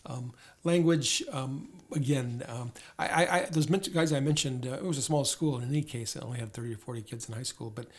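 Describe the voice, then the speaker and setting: Hesitant older man, halting answers. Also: medium pitch. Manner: hesitant, many restarts and repeated words. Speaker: interview guest, former diplomat. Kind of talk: conversational interview answers.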